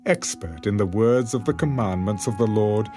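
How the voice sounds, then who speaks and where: A man narrating scripture for a dramatised audiobook, with solemn, deliberate phrasing. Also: fairly low pitch, darkish tone, faint music behind.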